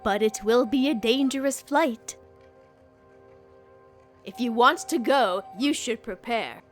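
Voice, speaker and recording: A woman's voice: a little high, takes it slowly. A woman voicing a cautious, practical aviator and professor's colleague in a fan dub. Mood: amazed, cautionary. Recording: music under the voice.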